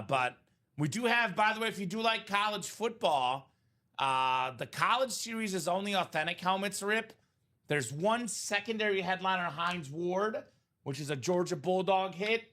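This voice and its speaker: Bright-voiced, chatty card break host. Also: male voice, high voice. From Chicagoland area, born in Bulgaria. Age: 30s. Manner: chatty, salesy, addresses viewers by name. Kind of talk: casual live-stream chat.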